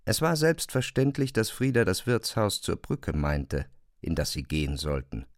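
A man giving a dramatic literary narration for an audio drama, expressive and precise in his diction. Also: sits low, normal speed, dry recording, studio quality.

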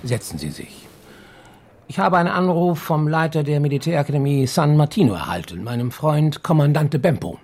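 An actor playing a pompous, irritable senior police chief in a radio crime drama, haughty and emphatic. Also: male voice, on the high side, expressive, moderate pace, a little mellow. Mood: indignant, stern. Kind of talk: German radio play acting.

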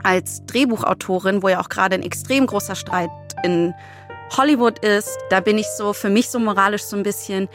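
A woman's voice: medium pitch, normal speed, music in the background. A woman co-hosting a podcast in casual chat, colloquial and blunt, dropping in the odd English phrase. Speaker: podcast co-host, comedy writer and screenwriter.

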